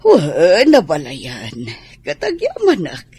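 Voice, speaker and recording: A woman's voice: lowish voice, huge pitch swings, slow, warm tone, slightly rough, heavily acted. An actress playing a friendly mother in a radio drama, sociable and welcoming, with an Ilocano accent. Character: Marjo, a warm mother hosting a birthday.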